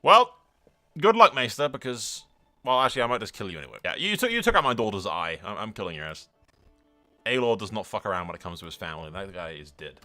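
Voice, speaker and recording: A man's voice: fairly low pitch, very animated, fast, bright, forceful tone, soft background music. A man doing casual let's-play commentary, sweary and blunt, slipping between exclamations and in-character roleplay narration. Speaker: gaming video host.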